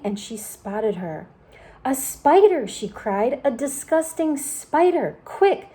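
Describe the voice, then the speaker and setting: A woman's voice: on the high side, dark tone, lightly acted. A woman voicing an anxious, motherly female spider in a storybook reading.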